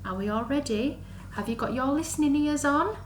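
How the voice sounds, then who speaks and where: A woman reading a story to young children in an animated, sing-song, exaggerated way, as a storyteller for under-fives. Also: high-pitched, normal speed. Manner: sing-song, exaggerated, asks the listeners questions. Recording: echoey room, faint background noise.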